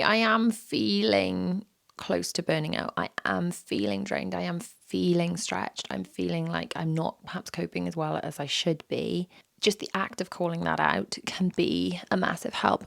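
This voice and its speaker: A woman, hosting a solo podcast with a croaky, sore-throated voice, candid and self-deprecating, repeating phrases for emphasis. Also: no room sound, clean studio sound. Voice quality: low and gravelly. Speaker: podcast host. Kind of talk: reflective solo podcast talk.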